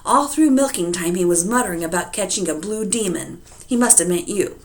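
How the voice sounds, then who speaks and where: A woman's voice: mid-range voice, lively intonation, a little fast, lightly acted. A woman reading a storybook aloud, voicing friendly, protective cows. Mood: urgent. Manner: hushed, urgent. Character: kindly, protective farm cows.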